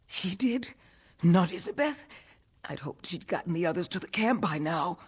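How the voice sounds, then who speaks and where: Hurt scout from a fantasy game, husky voice, strained but loyal and earnest. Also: medium pitch, lively intonation, moderate pace. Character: wounded scout, defiant, loyal, self-sacrificing. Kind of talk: video game character acting.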